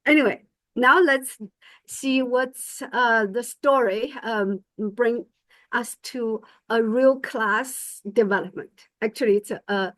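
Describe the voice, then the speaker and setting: A woman's voice: fairly high pitch, takes it slowly, a bit bright, dry recording. A middle-aged woman whose first language is Chinese, giving a conference presentation as medical faculty, hesitant with many fillers and restarts. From China.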